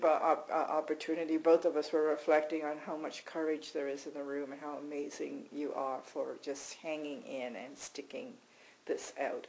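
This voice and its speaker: A meditation teacher from Canada giving a mindfulness retreat talk, halting and self-deprecating, with frequent restarts. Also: mid-range voice, gravelly, a little mellow, poor audio.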